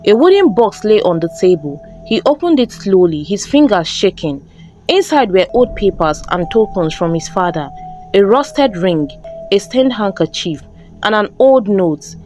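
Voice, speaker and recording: A woman's voice: mid-range voice, sing-song, moderate pace, a little mellow. A woman storyteller giving a scripted, dramatic narration of a folktale. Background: background music.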